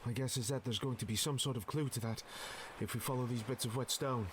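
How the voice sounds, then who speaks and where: Audio drama sidekick investigator: casual, jumpy man, acted, mid-pitched, faint ambient noise behind. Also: fairly even intonation, quick pace, natural voice. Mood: thoughtful.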